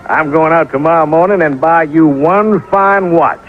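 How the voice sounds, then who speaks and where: A man's voice: a little high, normal speed, lightly acted. An actor playing a naive, polite, bashful young country boy in an old radio drama, with folksy ungrammatical talk. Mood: eager, shy. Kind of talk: old-time radio detective drama acting. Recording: muffled vintage radio recording, music behind.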